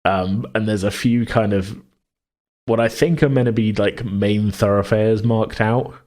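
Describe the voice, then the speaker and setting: A man co-hosting a podcast in a casual worldbuilding chat, rambling as he thinks out loud. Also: on the low side, fairly even intonation, unhurried. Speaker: podcast co-host.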